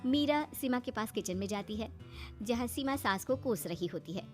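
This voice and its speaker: High-pitched woman narrating comic stories. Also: expressive, normal speed, darkish tone, soft background music. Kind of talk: scripted comic story narration.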